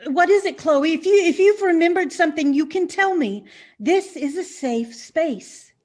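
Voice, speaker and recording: Nervous female investigator played by an actress, very high voice, polite and hesitant, comedic mystery play. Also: animated, moderate pace, darkish tone. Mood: hesitant, coaxing. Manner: hesitant, apologetic.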